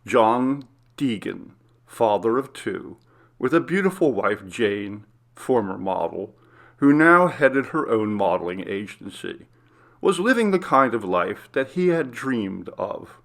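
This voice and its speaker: Male narrator, scripted storytelling, unhurried pace, creaky medium-pitched voice. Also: big pitch swings, fairly bright tone.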